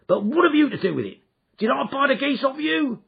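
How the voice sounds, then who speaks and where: Guy reading as a raspy angry market salesman yelling way up high in a storybook, gravelly, muffled lo-fi sound. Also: big pitch swings, a little fast, exaggerated voice. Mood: furious. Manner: angry, bellowing. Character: surly shouting male market salesman.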